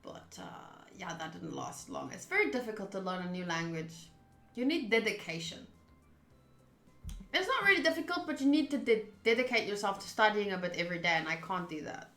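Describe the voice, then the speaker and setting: A chatty, expressive woman, a native Afrikaans speaker, streaming a game with casual talk and reading aloud. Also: mid-range voice, fairly quick. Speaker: streamer playing a visual novel. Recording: roomy sound with music underneath. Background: game music.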